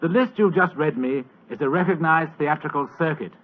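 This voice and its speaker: An actor playing a fat, lazy, superior British government official in a 1940s radio drama, with a languid, pompous, condescending manner. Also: male voice, fairly high pitch, a little fast, natural voice. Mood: languid, dry. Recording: old muffled 1940s radio recording.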